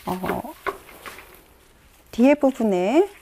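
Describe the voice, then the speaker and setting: A middle-aged woman giving a calm flower arranging lesson in a studio, pausing often as she works. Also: fairly high pitch, slight background noise. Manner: calm instructive, many "eo" pauses while working. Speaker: floral design instructor. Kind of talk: step-by-step demonstration lesson. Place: bright indoor studio.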